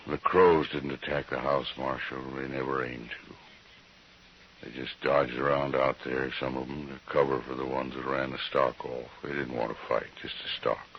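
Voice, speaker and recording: An actor playing a gaunt, grieving frontier homesteader in an old radio western, speaking plainly and haltingly with resigned weariness. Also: male voice, extremely low pitch, little pitch movement. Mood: grieving, numb. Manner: plain rustic speech, halting, subdued. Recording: dull, hissy old radio recording.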